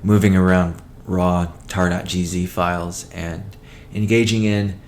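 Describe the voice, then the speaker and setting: Low, flat-voiced man giving business update. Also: a little slow, slight background noise. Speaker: company CEO hosting a weekly call. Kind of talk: business update presentation, partly read from notes.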